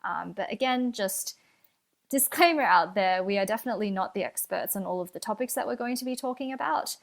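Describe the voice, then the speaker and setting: A young woman co-hosts a casual podcast at a middle pitch. She talks in a careful, thoughtful way, softening her points with fillers and qualifiers. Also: expressive, brisk, vocal fry. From Australia. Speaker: podcast co-host.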